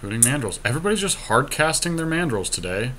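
A man's voice: medium pitch, very animated, quick pace, sharp tone. A man co-hosting a podcast, thinking aloud through a card game in casual commentary with some mild swearing. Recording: clear background noise.

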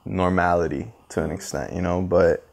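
A man, giving reflective interview answers in a halting, thoughtful way. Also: deep voice, steady tone, creaky. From Costa Rica, later United States. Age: 20s. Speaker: interview guest, photographer and visual artist. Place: living room, indoors on a sofa. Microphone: clip-on lapel mic.

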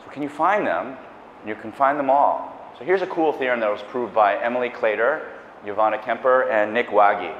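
A man's voice: mid-range voice, moderate pace, slight background noise. A middle-aged man giving a public math lecture on a lapel mic, enthusiastic and chatty, with asides and self-corrections. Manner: enthusiastic, animated gestures, pacing the stage. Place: lecture hall. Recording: echoey lecture hall, dull sound.

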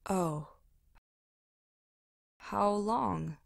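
A woman's voice: mid-range voice, very slow, vocal fry, no room sound, exaggerated voice. A woman acting in an audio drama as a caring, self-doubting young woman, in a natural style. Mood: gentle, sad. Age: young adult.

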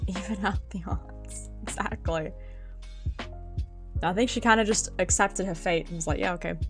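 Female British livestreamer, expressive, sweary and informal, mid-range voice with faint music behind. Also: medium pitch, normal speed. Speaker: streamer. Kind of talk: casual livestream chat. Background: background music.

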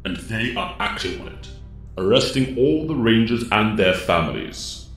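A man plays a grave mentor to superheroes in an audio drama. His formal, solemn lines swing in pitch and echo over faint music. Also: expressive, normal speed. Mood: grave, firm. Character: calm, grave male mentor commanding superhero rangers.

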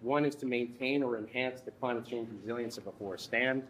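A man in his thirties leading a workshop from a lectern in a conference room, speaking haltingly with frequent restarts. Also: mid-range voice, a bit bright, slight background noise, poor audio. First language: English. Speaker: workshop instructor. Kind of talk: workshop presentation.

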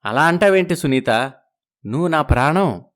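A man's voice: a little high, lively intonation, normal speed, fairly bright tone. An evasive young husband caught between two families, as a man acts him out. He soothes and makes excuses in a slightly raised voice.

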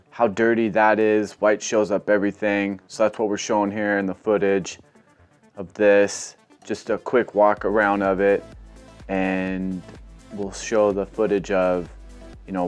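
A man casually narrating a how-to car wash video, relaxed and full of fillers. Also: on the low side, smooth. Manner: relaxed, rambling, filler-heavy explaining. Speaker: car detailing vlogger. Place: outdoor car wash bay.

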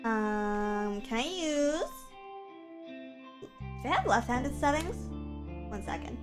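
High-pitched stuffy-nosed woman streamer. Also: slow pace. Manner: swings from mumbling to loud excited yelling. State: stuffy from a cold. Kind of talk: casual live-stream gaming chat. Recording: game music behind.

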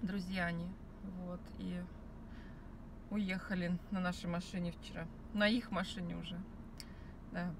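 A woman's voice: on the low side, level tone, unhurried, long pauses. A woman in her thirties chatting casually to camera from a parked car, rambling with many fillers and restarts. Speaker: vlogger. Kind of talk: casual chat. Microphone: phone camera on the dashboard. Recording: car with noise behind.

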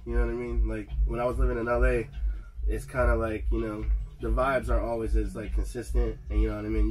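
A man's voice: mid-range voice, darkish tone, rough recording. A young man, a rapper being interviewed, chatting in a laid-back, slangy way on a handheld mic in a studio lounge. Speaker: rapper guest. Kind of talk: casual interview chat.

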